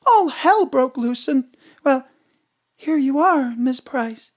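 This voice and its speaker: Elegant society wife, high-pitched woman, airy, theatrical, evasive, audio drama. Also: animated, slow pace, darkish tone. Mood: evasive, nervous. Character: elegant, charming, flustered wife of a president.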